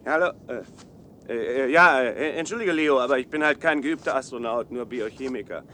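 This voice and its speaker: A man's voice: medium pitch, moderate pace, bright, forceful tone, slight background noise, natural voice. An actor playing an awkward, earnest young male biochemistry student in a science-fiction radio drama, with hesitant, apologetic delivery. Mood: flustered.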